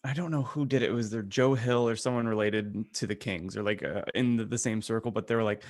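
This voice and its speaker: A man's voice: on the low side, quick pace, dry recording, clean studio sound. A man co-hosting a podcast, chatting casually and informally. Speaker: podcast co-host. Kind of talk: casual chat.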